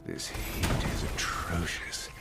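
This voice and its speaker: A male actor playing a grim, calm necromancer adventurer in a dark fantasy video game, with brooding, deliberate delivery over game effects. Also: over loud music, close to an everyday voice. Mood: grim, weary.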